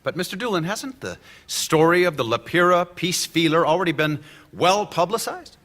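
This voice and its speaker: A man's voice: on the high side, animated, normal speed, a bit bright. An actor playing a determined male defense lawyer, questioning a witness pointedly in a live stage radio drama. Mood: probing. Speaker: defense attorney. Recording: live stage recording with long reverb.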